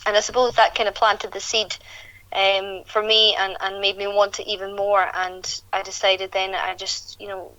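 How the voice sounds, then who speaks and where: Young woman gabbing on a dull phone line, bright voice, kinda flat. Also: medium pitch, moderate pace, no echo. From Scotland. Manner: chatty, rambling with restarts. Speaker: guest, country singer. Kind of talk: interview.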